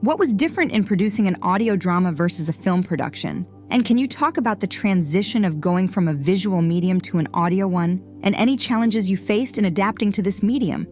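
A woman's voice: medium pitch, animated, a little fast, hardly any pauses, a little mellow, soft background music. A woman interviewer putting prepared questions to a guest.

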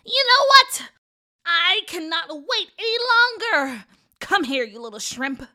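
A woman voicing a mean, impatient old woman in a children's story, scolding and exclaiming with drawn-out words. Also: sits high, sing-song, bright, forceful tone, heavily acted. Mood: furious.

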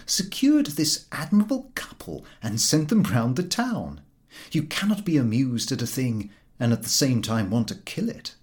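A man reading a humorous literary story aloud in an expressive, dryly droll way. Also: mid-range voice. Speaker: audiobook reader.

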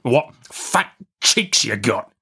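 A man voicing a rough, threatening convict in a storybook reading, growling and barking commands. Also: mid-range voice, sing-song, takes it slowly, bright, forceful tone, heavily acted. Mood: menacing, brooding. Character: menacing ravenous escaped male convict, rough and threatening.

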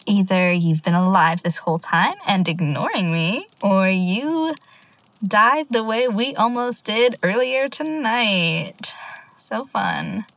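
A woman's voice: mid-range voice, sing-song, slow pace, fairly bright tone, no room sound, heavily acted, poor audio. A woman acting in an audio drama as a stubborn, determined younger sister, earnest and defiant. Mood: wry, resolute.

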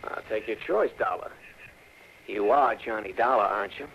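Cocky gravelly gangster. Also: male voice, fairly low pitch, normal speed. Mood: gloating menace. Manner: taunting, tough-guy slang. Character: cocky, menacing male gangster with a gun. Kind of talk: 1960s radio drama acting. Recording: dull, noisy old radio recording.

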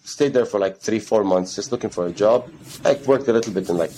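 A man's voice: lowish voice, a little fast, warm tone, rough recording. A man in his thirties, from Ghana, telling his story as an interview guest in a rambling way, with Arabic phrases mixed into his English. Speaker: guest, gym co-founder.

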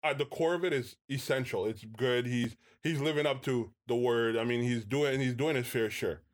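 A man in a casual podcast discussion, speaking in a measured way and steering the talk back to his argument. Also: mid-range voice.

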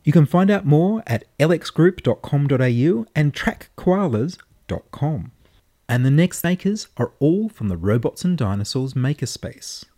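A man's voice: a little high, darkish tone. A man presenting and interviewing as a radio reporter.

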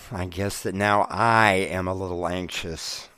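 A man's voice: deep voice, steady tone, a little slow, sharp tone, clean tone, dry recording. An actor playing a curious young man in love, asking probing, impatient questions. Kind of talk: audio drama acting.

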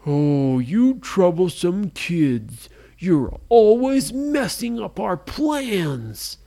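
A storyteller voicing a gruff male pirate guard who yells and taunts loudly. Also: mid-range voice, sing-song, voice pushed up, heavily acted. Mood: taunting. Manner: loud yelling, drawn-out taunts.

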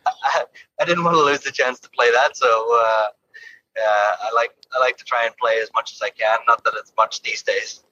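A man's voice: medium pitch, steady tone, moderate pace, pushed and bright, highs cut off, a little hiss or hum. A man with an Irish accent, a golf coach, chatting easily from his car in an interview. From Ireland. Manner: easygoing, laughs often, repeats words. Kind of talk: casual interview chat from a car.

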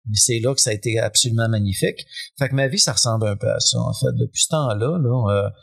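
A man with a Québécois French accent, talking as a guest in a relaxed, rambling way with many fillers. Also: medium pitch, fast talker, creaky. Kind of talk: casual conversation.

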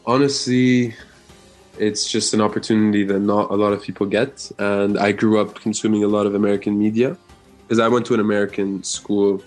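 A young man from the Gaza Strip answering interview questions in a measured, earnest way, with some fillers. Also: on the low side, level tone, a little mellow, soft background music. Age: young adult. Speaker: interview guest.

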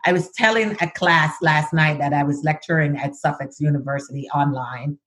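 A woman in her fifties with a Jamaican accent, telling her career story in a measured interview conversation. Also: low-pitched, a bit bright. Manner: measured, sometimes overpronounces words. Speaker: guest, diversity executive.

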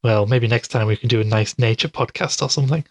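A tired, sceptical podcaster in an audio drama, played by a man. His voice sits at a middling pitch and is recorded very dry. He talks casually and without fuss, like someone telling his own mystery. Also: fast, no echo, lightly acted. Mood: curious.

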